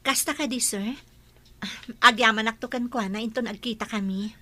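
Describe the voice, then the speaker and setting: Timid, bright-voiced young housemaid. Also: female voice, on the high side, normal speed, no echo. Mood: nervous, hesitant. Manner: hesitant, deferential. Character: timid, polite young woman newly arrived as household helper. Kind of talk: Ilocano radio drama acting.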